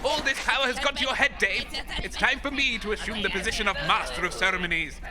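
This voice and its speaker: Indignant, very high-pitched sidekick, played by a man. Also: fast, a crowd in the background, lightly acted. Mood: scornful. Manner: indignant, mocking. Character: disgruntled radio engineer sidekick, indignant and scornful. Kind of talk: comedy audio drama acting.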